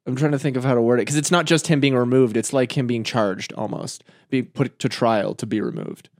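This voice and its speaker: A man's voice: medium pitch, brisk, a little mellow. A man co-hosting a podcast, chatting casually, swearing often and teasing his friends. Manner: crude, swears a lot, playful boasting. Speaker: podcast co-host. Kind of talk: casual banter.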